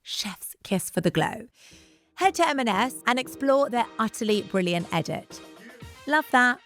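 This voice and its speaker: A woman's voice: fairly high pitch, animated, a little slow, fairly bright tone, faint music behind. A woman reading a scripted sponsor ad in an upbeat, chatty way. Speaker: beauty director reading an ad. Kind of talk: scripted ad read.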